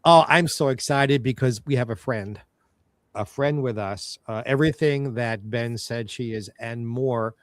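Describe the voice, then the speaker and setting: A man co-hosts a talk show and tells backstage stories with theatrical relish. His voice is mid-pitched. It is recorded dry and close. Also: no room sound. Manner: theatrical storyteller, showbiz flair. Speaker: co-host. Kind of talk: casual chat.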